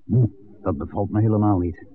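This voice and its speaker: An actor playing a curt, guarded café owner with an air of authority in a 1960s radio play. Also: male voice, lowish voice, brisk, lightly acted. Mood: concerned, brisk. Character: village café owner, guarded boss of a secret operation. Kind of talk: 1960s Dutch radio drama acting. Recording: thin narrowband vintage radio, faint noise.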